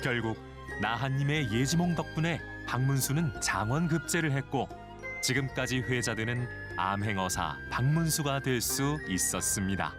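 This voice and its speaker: A man narrating a folk tale in a smooth, scripted voice-over. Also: medium pitch, animated, normal speed. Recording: voice-over with loud guitar music.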